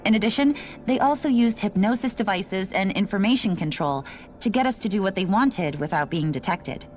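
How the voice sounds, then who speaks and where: Actress doing a game station worker lady, middle pitch, resigned n casual, explains stuff, bit of music under it. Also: expressive, moderate pace, a little mellow, close to an everyday voice. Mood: matter-of-fact, explaining. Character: Renan woman station worker, matter-of-fact and resigned. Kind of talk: video game cutscene voice acting.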